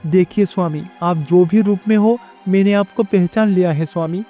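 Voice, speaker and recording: A man's voice: sits high, moderate pace, dark tone, faint music behind, lightly acted. A man playing a devoted, earnest bull attendant of the gods in a storybook tale. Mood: pleading. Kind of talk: storybook character dialogue.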